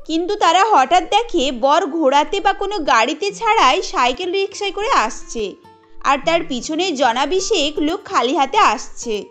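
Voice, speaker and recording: A woman's voice: very high-pitched, expressive, normal speed, a bit bright, faint music behind. A woman narrating a scripted cartoon story.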